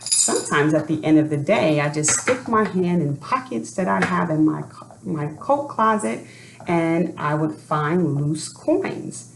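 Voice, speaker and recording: A low-voiced woman speaks slowly and deliberately, as if guiding young listeners through a storybook session. Her delivery is expressive, and the room around her sounds echoey. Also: vocal fry. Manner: deliberate, expressive, addresses children with questions. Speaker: educator reading a children's book aloud. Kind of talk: read-aloud storytelling and talk to families. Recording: echoey room with faint background noise.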